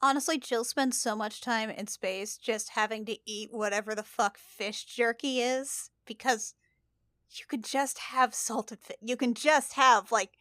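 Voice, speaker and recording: A woman with a fairly high, very bright voice in a dry, close recording. She chats casually and emphatically, swearing as she goes. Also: fairly even intonation, a little slow, no echo. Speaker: tabletop podcast player. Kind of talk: casual chat.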